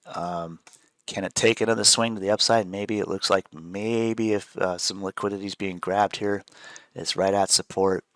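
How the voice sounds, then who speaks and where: A man with a deep, low-pitched voice talks through trading charts over a video call, loose and rambling, with plenty of "uh" and "um". He has a head cold and sniffles now and then. The recording is dry, with no room sound at all. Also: moderate pace, no echo. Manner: relaxed, rambling, frequent fillers. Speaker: show host, trader. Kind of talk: casual chart analysis chat.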